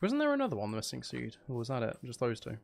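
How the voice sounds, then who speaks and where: A man with a gravelly edge, chatting casually to viewers as he plays a game, rambling and trailing off as he thinks aloud. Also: mid-range voice, huge pitch swings, fast. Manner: rambling, trailing off, muttering to himself. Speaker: streamer and audio creator chatting with viewers. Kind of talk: casual livestream gameplay chat.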